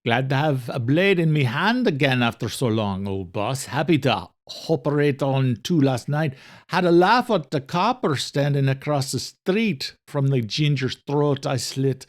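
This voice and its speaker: A taunting male killer in a horror audio drama, put on by a man narrating, his pitch lively and swooping. He gloats in a colloquial, mocking manner. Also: a little slow, natural voice. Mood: gloating.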